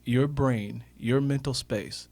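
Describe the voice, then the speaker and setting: A man's voice: mid-range voice, slow, vocal fry, a little mellow, no echo. A man speaking as a radio interview guest in a motivational style, emphatic and repeating phrases for effect. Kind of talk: motivational talk on a radio show.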